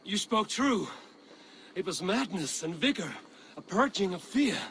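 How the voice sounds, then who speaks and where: An actor playing a proud, skeptical Viking warrior in a video game, with a dry, wry delivery and old-fashioned phrasing. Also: male voice, high-pitched, expressive, unhurried, a bit bright, slight background noise, exaggerated voice. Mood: sincere. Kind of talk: video game cutscene acting.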